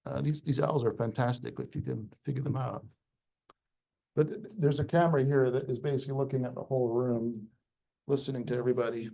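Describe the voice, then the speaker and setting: Guy running a pitch night, rambling, lots of restarts, mellow voice. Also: steady tone, brisk, creaky, rough recording. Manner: chatty, rambling, many restarts. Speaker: event host. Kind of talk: hosting a live pitch session. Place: crowded room.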